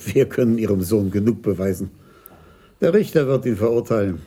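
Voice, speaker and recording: An actor playing a patient, insistent police commissioner in a radio play, speaking in a measured, persuasive way. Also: male voice, lowish voice, dark tone, husky. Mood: probing.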